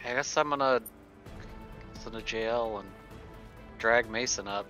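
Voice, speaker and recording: A man's voice: mid-range voice, unhurried, sharp tone, faint music behind. A man playing a tabletop game, talking casually through a dull, band-limited mic. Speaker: player in a tabletop RPG. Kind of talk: casual chat.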